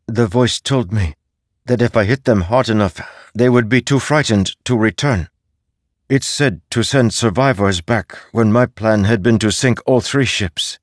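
A man's voice: lowish voice, measured pace, no echo, clean studio sound, pitched above the performer's own voice, close to an everyday voice. A man voicing a troubled, dutiful young male warrior in an audiobook, hesitant and earnest.

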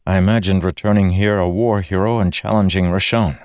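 A man voicing a guarded, weary young soldier who speaks low, earnest and clipped. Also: fairly even intonation, fairly quick, a little mellow, no echo, studio quality, close to an everyday voice. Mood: tense.

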